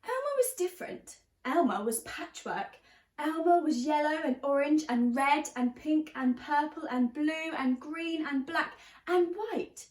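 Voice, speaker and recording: High pitched lady teacher doing storytime for little kids, expressive, stresses words, bit echoey. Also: measured pace. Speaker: teacher reading a story to young children. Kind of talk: children's storybook read-aloud. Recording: roomy, echoey sound.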